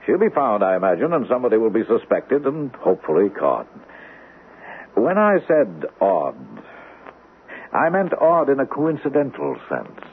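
An actor playing a suave, probing doctor with veiled menace in a radio mystery, speaking in a measured, insinuating way with deliberate pauses. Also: male voice, medium pitch, wildly swooping pitch. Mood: coolly reassuring. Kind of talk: old-time radio mystery acting. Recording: tinny band-limited vintage radio, faint hiss.

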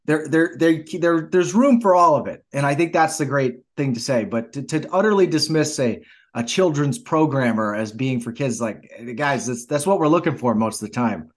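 A man with a somewhat high voice hosting a casual film chat. He doubles and triples words as he gets going and slips into acted-out quotes. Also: animated, moderate pace. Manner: stammering repetitions, mimics quotes. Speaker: podcast host.

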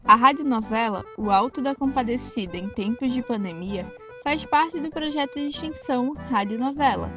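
A woman announcer reading scripted credits for a radio drama. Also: on the high side.

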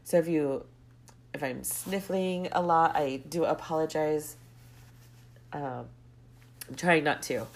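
Low-voiced stuffy woman podcaster rambling. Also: slow pace, gravelly, long pauses. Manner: rambling, goes off on tangents. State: stuffy, congested with a cold. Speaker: podcast host. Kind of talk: casual solo podcast monologue.

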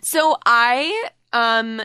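A woman's voice: fairly high pitch, expressive, drawn-out words, bright, forceful tone, smooth, dry recording. A young American woman hosting a podcast in a casual, candid chat, teasing and swearing freely. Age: young adult. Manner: blunt, profane, teasing. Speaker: podcast host. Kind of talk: casual candid chat, interviewing her mother.

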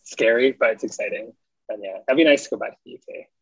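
A man's voice: mid-range voice, quick pace, husky, no echo. A man in his thirties from Scotland, with a mild mixed Scottish accent, chatting casually as an interview guest and laughing often. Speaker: guest, ecologist. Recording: dull lo-fi dry audio.

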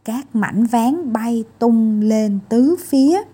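A woman's voice: fairly high pitch, takes it slowly, mellow, slightly rough. A woman storyteller reading a children's fairy tale as scripted narration.

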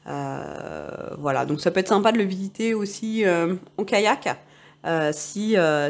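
A woman giving casual travel advice, pausing often with filler sounds. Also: medium pitch, darkish tone. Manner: hesitant, many filler sounds. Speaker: travel advisor.

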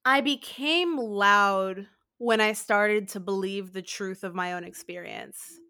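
A woman's voice: medium pitch, slow, pushed and bright, clear, resonant tone. A woman co-hosting a casual conversation, speaking confidently and emphatically.

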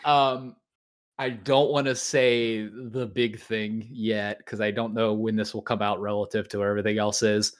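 A man's voice: on the low side, little pitch movement, normal speed. A man hosting a podcast, chatting casually and informally as he interviews a guest, often thinking aloud. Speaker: podcast host.

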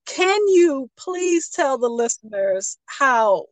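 A woman's voice: sits high, very animated, slow pace, no echo. A middle-aged woman with an American accent, hosting a conversational radio interview. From Pontiac, Michigan, United States. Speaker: radio show host.

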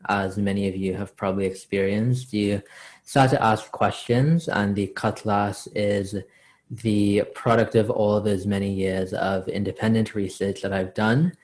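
A man's voice: on the low side, a little mellow. A man from Trinidad who grew up in South Florida, speaking as a podcast guest in a friendly, easygoing, conversational way. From born in Trinidad, raised in South Florida. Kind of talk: podcast interview.

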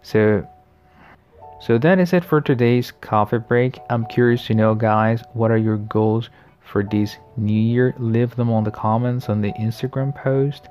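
A man's voice: mid-range voice, steady tone, darkish tone, soft background music. Friendly late-20s male podcast host. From the Americas. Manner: friendly, reflective, often pausing with fillers and restarts. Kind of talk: casual solo podcast monologue.

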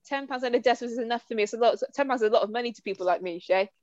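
A woman's voice: a little high, rapid-fire, darkish tone, no room sound, muffled recording. A woman co-hosting a casual podcast, blunt and sarcastic, swearing casually. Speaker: podcast co-host. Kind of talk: casual chat among friends.

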